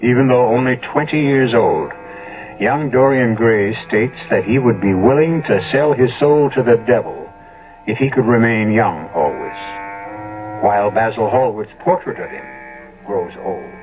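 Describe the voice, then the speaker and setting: A man narrating a 1970s radio drama as host, ominous, formal and measured. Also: lowish voice, expressive. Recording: thin, phone-like old radio recording. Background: music.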